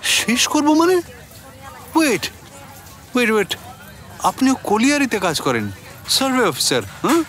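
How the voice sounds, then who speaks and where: A man's voice: very high voice, huge pitch swings, a little slow, pauses often, a bit bright, exaggerated voice. A man playing a bewildered, suspicious brother-in-law in a radio drama, questioning incredulously with a West Bengal Bengali accent.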